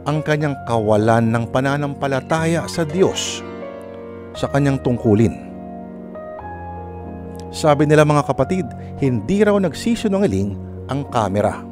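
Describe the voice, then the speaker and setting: A man's voice: mid-range voice, expressive, measured pace, pauses often. A man with a Filipino accent hosting a radio drama with theatrical, rhetorical scripted narration. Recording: music under the voice.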